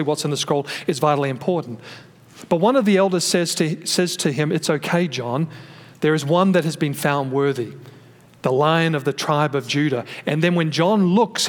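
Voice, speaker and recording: A man preaching a sermon from his notes, explaining scripture in a teaching manner with frequent restarts, his voice carried by a microphone and speakers. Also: fairly high pitch. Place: church hall with a sound system. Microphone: microphone through a PA system. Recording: long reverb of a hall.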